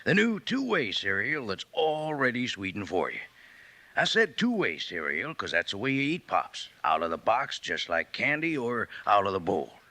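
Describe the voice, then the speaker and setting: A man giving a playful, folksy cereal pitch in a 1950s radio commercial. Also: sing-song, normal speed, bright, forceful tone.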